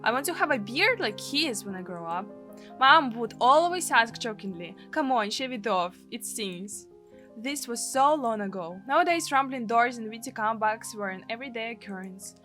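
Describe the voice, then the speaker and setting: Let's play casting: woman with Russian accent, bright highish voice, expressive translated storytelling, light music bed. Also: normal speed. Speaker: gaming streamer. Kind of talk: let's play, reading a live translation aloud.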